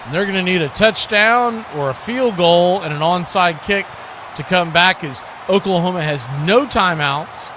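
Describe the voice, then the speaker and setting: A man's voice: high voice, normal speed, background noise. A man hosting a live stream, calling football play by play with casual, chatty asides between plays. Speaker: play-by-play host of a football watch party.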